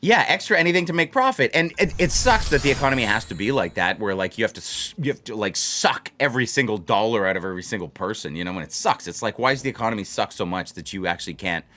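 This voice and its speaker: A man's voice: lowish voice, lively intonation, fast, hardly any pauses, sharp tone. A man hosts a casual livestream, chatting to viewers in a rambling way and repeating phrases for emphasis. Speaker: livestream host of a blackjack channel.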